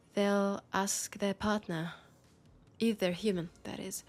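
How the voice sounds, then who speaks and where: A woman with a French accent playing a worried, disillusioned policewoman in an intimate sci-fi roleplay, confiding in a hesitant way. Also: mid-range voice. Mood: somber, confiding. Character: worried, disillusioned young policewoman in a dystopian city. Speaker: roleplay audio performer.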